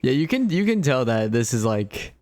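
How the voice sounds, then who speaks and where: A man's voice: mid-range voice, very animated, moderate pace, a little mellow. A man giving casual live commentary as he streams a video game.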